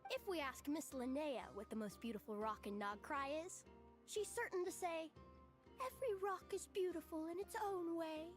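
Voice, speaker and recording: A woman voicing an excitable, eager young female character in a video game. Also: sits high, moderate pace, lightly acted. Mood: playful. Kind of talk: animated game voice acting. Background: game music.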